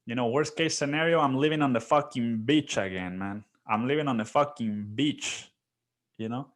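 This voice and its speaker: A man's voice: medium pitch, normal speed. A young man hosting his own podcast, talking alone in a loose, rambling way, full of swearing and "dude". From Mexico. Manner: rambling, profane, filler-heavy with repeated "dude". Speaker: podcast host. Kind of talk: casual solo monologue.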